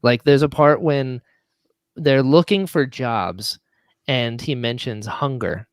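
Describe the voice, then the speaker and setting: A man's voice: medium pitch, slow, clean tone, dry recording. Casual man telling stories, dry close sound. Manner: animated storyteller who acts out quoted lines. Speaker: audio drama writer and producer, podcast guest. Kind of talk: casual chat.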